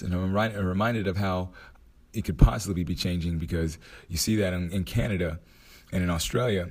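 Deep raspy guy doing a chill solo podcast, hoarse voice, thinks out loud. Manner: relaxed, thinking aloud with restarts. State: hoarse from a night out. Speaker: podcast host. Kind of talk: casual motivational monologue.

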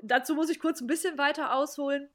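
Woman in her thirties, co-host, self-mocking storyteller with a slightly high voice. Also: fairly even intonation, fast talker. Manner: candid storytelling, laughs at herself. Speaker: podcast co-host. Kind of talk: casual chat.

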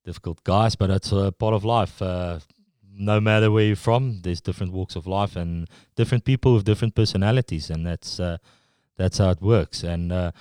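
Man, Afrikaans accent, low smooth voice, halting speech, dry close sound. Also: a little mellow, no echo. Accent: South African Afrikaans. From South Africa. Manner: halting, full of restarts and fillers. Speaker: guest. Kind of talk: casual interview chat.

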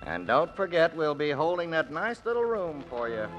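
Curt, condescending prison guard, old radio. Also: male voice, a little high, sing-song, moderate pace. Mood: curt, needling. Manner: curt, needling. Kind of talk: 1940s radio acting. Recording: dull old radio recording with music.

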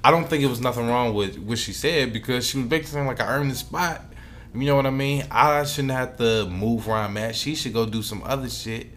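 A man's voice: medium pitch, a little fast, fairly bright tone, slight background noise. A man with an American accent co-hosting a podcast in casual, profane, slangy banter. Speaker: podcast co-host.

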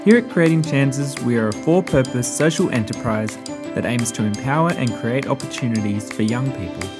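A podcast announcer reading a scripted show introduction in a measured, promotional way. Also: medium pitch. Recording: voice over guitar music. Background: guitar music.

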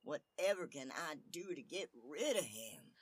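A man's voice: on the high side, expressive, unhurried, no room sound, pitched above the performer's own voice. A man voicing a distressed young princess in a storybook tale, exclaiming dramatically. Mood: dismayed.

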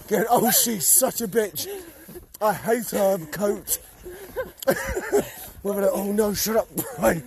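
A man's voice: sits high, wildly swooping pitch, takes it slowly, vocal fry, long pauses, a crowd in the background. A man hosting a casual podcast chat on a drive, teasing and laughing with friends. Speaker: podcast host.

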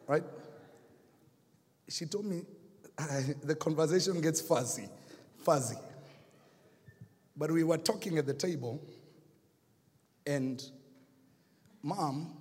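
A man with a Kenyan accent preaching a sermon, telling a story and swinging from hushed to shouting. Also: fairly high pitch, big-room sound. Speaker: pastor. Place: church hall.

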